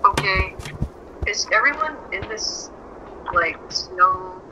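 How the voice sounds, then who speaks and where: A man playing a family multiplayer game, chatting casually and thinking out loud as he goes. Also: high-pitched, animated, slow. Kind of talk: casual gameplay chat. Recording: dull lo-fi voice chat, clear noise.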